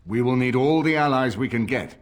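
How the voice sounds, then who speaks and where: An actor playing an older nobleman and war leader in a video game, speaking formally and with measured authority. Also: mid-range voice, lively intonation, close to an everyday voice. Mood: resolute. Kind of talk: dramatic video game dialogue.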